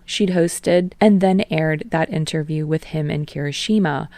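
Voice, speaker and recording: A woman narrates fan fiction at a steady storytelling pace, her voice sitting low with a gravelly fry. The recording is dry and close, with no room around it. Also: no room sound. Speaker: podfic reader.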